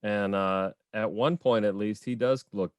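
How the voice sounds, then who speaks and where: A man's voice: on the low side, fairly even intonation, measured pace, smooth, no echo. A man on a discussion panel, chatting casually and laughing easily.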